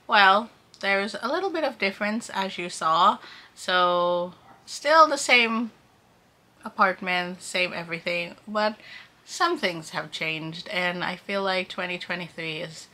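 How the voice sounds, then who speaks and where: Bright-voiced woman in her thirties vlogging. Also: on the low side, slow. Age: 30s. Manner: chatty, giggly, lots of "like". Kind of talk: casual vlog chat to camera.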